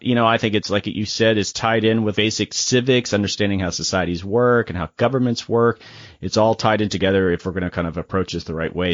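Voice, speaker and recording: A man's voice: lowish voice, muffled recording. A man hosting a podcast interview, upbeat and chatty, often saying "all right" between questions. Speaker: podcast host. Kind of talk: casual interview chat.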